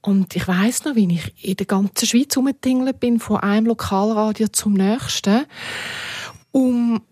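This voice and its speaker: Older-ish woman being interviewed, bit raspy, very dry close recording. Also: medium pitch, a little slow, dark tone, dry recording. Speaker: interview guest looking back on media work. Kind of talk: conversational reminiscence.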